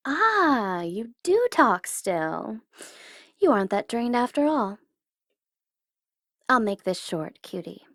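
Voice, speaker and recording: Female supervillain for ASMR audio drama, played by a woman: teasing, theatrical, easily flustered tsundere, measured pace, very dry intimate recording. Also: on the high side, sing-song, fairly bright tone, dry recording, heavily acted. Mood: smug, commanding.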